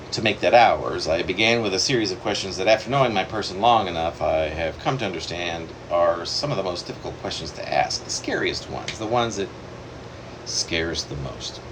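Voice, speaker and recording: A man reading his own long poem aloud in a wandering, self-correcting way, with sudden leaps in pitch. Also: background noise. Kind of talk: poetry reading.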